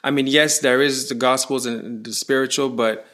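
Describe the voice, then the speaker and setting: A man from Canada, a touring musician, chatting in an interview and telling stories with rising excitement. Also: mid-range voice, normal speed. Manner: animated storyteller, voice rising when excited. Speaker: musician guest. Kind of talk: casual interview.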